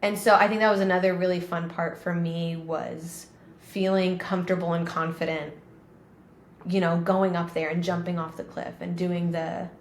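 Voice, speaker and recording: A woman's voice: fairly low pitch, flat delivery, moderate pace. Chatty woman in an echoey room. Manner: chatty storyteller, many "like" fillers. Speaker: podcast co-host. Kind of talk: casual conversation. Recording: roomy with faint background noise.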